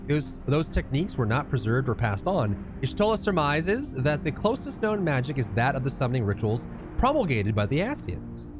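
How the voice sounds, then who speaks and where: A man voicing an earnest, formal scholar from a fantasy game, reading the lines in an inquisitive, deliberate manner. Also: fairly high pitch.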